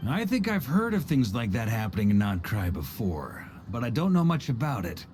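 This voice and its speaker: A man's voice: mid-range voice, very animated, normal speed, darkish tone, slight background noise, natural voice. A man playing a curious, confident young traveler in a video game, speaking casually and expressively. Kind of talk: game voice acting. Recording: game music behind.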